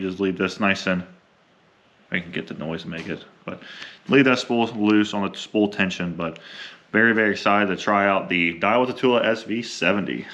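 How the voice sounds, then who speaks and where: A young adult man with a slightly hoarse voice from a cold, chatting casually and rambling with many ums while unboxing fishing gear. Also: on the low side, a bit bright. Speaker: fishing vlogger.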